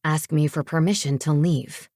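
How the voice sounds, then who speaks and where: A controlling teenage boy, voiced by a woman narrator in a novel reading. He speaks low and curt, with cool menace and sarcasm, in a very close, dry recording. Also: steady tone, normal speed, mellow, dry recording, close to an everyday voice. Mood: sharp, domineering.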